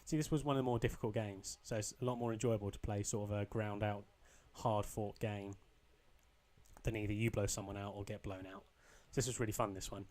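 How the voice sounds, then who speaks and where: A young man with a slightly croaky voice, casually commentating his own football video game match, rambling with ums and restarts. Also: fairly low pitch, steady tone, fairly quick, a little mellow. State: a bit croaky. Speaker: gaming channel host.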